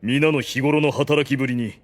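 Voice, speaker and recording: A male actor playing a solemn, benevolent undead ruler in an anime audio drama, speaking in grand, formal, measured phrases. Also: mid-range voice, steady tone, dry recording, lightly acted. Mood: formal, resolute.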